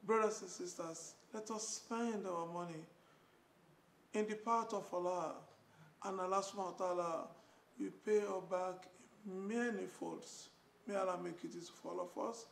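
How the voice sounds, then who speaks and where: A man's voice: high voice, steady tone, normal speed, vocal fry. A middle-aged man giving a religious exhortation with recitation in a small room. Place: small clinic room, indoors. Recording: roomy echo, faint background noise.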